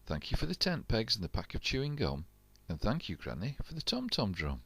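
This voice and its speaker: A plucky, unflappable boy sailor, put on by a grandpa reading a storybook. He chants his lines in a bouncy sing-song, lifted high and swooping wildly. The sound is close and dry. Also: wildly swooping pitch, brisk, vocal fry, a bit bright, breathy edge, no room sound, heavily acted. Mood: grateful.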